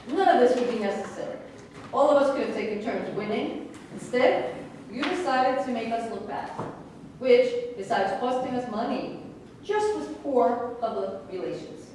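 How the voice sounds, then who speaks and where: A woman's voice: a little high, animated, a little slow, a little mellow. A woman in a staged play reading, playing a smug, menacing racetrack racketeer. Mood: smug, threatening. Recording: distant, very echoey room with noise.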